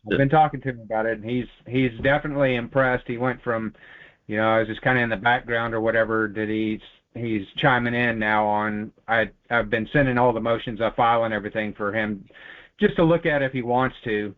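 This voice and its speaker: A man's voice: medium pitch, steady tone, brisk. A man chatting casually on a conference call. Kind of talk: casual chat. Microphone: telephone conference call. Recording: thin phone-line conference call audio.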